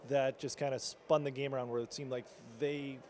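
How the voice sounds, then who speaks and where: A man's voice: mid-range voice, level tone, fairly quick, a little mellow, slight background noise. A man working as a team reporter, asking long, rambling postgame questions full of restarts.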